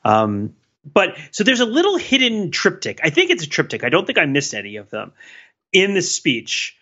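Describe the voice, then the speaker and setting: Man, podcast co-host, bright forward tone, fairly high voice, slightly dull audio, halting thoughtful chat. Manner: thoughtful, many fillers and restarts. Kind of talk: casual analytical chat.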